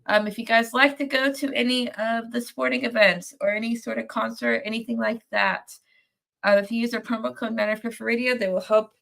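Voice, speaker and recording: A woman's voice: a little high, fairly even intonation, brisk, gravelly, fairly bright tone. A young woman hosting a sports fan post-game show while sick, sounding nasal and congested, rambling casually with many fillers. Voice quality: nasal from a cold. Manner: rambling, many ums and restarts, reads viewer comments. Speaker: podcast host. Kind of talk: casual live-stream chat.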